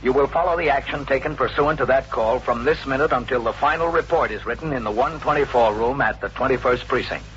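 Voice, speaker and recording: An American man playing a polite, persistent New York police captain in a 1950s radio drama, narrating in a measured, official way. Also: mid-range voice, a bit bright, lightly acted. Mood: matter-of-fact narrating. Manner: measured, matter-of-fact, official. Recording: dull vintage radio recording, faint noise.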